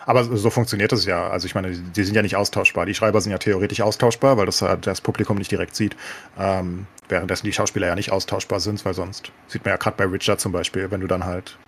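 A man's voice: deep voice, steady tone, quick pace, fairly bright tone, breathy edge. A man in a casual podcast discussion, talking colloquially and opinionatedly with English words mixed in. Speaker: podcast co-host.

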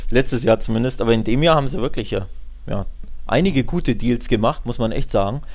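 A man's voice: mid-range voice, fairly quick, a little mellow. A man chatting casually as co-host of a football podcast, often tacking short questions onto his sentences. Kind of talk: casual sports podcast chat.

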